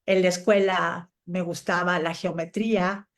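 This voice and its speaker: Middle-aged woman reminiscing, lowish voice. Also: level tone, unhurried. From Chile and Mexico. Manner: conversational, anecdotal storytelling. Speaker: interviewed scientist guest. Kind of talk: casual reminiscing interview answers.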